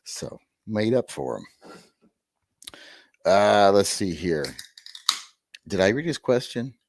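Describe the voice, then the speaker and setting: A middle-aged man with a hoarse, gravelly voice hosting a rambling live-stream chat with wry, self-deprecating asides. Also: fairly low pitch, lively intonation, takes it slowly, a little mellow, a little hiss or hum. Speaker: host.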